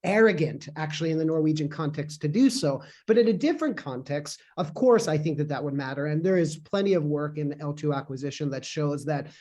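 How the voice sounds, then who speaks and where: A man's voice: on the high side, animated, fairly quick, few pauses, darkish tone. A man, a co-author, joining remotely to give a long, fluent academic answer in a Q&A. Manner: fluent and explanatory, with filler restarts.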